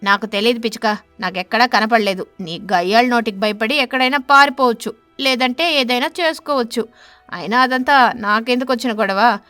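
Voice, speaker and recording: A woman acts out a stingy stork husband from an animated fable. He is stern and scolding, with a sharp tongue and a lecturing manner. The sound is close and dry, with music underneath. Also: fairly high pitch, a little fast, no echo. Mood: harsh, scornful.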